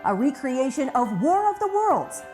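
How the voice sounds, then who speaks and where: Lively American woman hosting, over music. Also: sits high, moderate pace. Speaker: host of a radio drama retrospective, voice actor. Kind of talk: scripted TV presenting.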